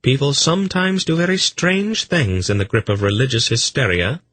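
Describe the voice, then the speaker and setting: A man voicing a composed, knowing mature woman in a fantasy audiobook, explaining calmly. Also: medium pitch, moderate pace, no room sound, voice pushed up. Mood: calm, grave. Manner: calm, explanatory.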